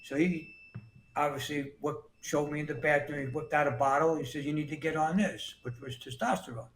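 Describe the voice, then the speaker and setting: A man in his sixties from New York City, speaking a little higher than most men. He talks plainly and often restarts his sentences. His voice rings in an echoey room. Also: steady tone, a little fast, slight background noise. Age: 60s. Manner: plain-spoken, repeats words and restarts often. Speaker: guest. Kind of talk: casual interview talk.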